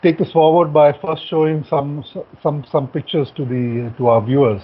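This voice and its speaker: A man's voice: on the high side, fairly even intonation, normal speed, slightly rough. A middle-aged man, an author and journalist, talking on a video call from a home study, often restarting his sentences. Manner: talks with restarts and uhs. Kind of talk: casual talk on a video call. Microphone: computer mic on a video call. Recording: thin phone-like call audio.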